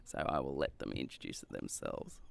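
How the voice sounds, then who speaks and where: A man's voice: extremely low pitch, lively intonation, moderate pace, creaky, very noisy. A man hosting a casual travel podcast, chatting in a rambling, hesitant way peppered with filler words. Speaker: podcast host.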